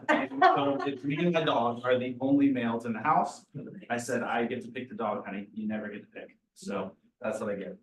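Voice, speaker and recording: A man's voice: medium pitch, a little fast. A man giving a chatty, jokey introduction of himself on a real estate panel. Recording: echoey room, distant mic.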